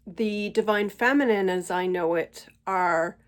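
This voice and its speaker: Slow-spoken woman, stuffy from a cold. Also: mid-range voice. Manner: gentle, unhurried, thoughtful pauses. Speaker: channel host. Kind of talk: slow reflective spiritual monologue. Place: at home.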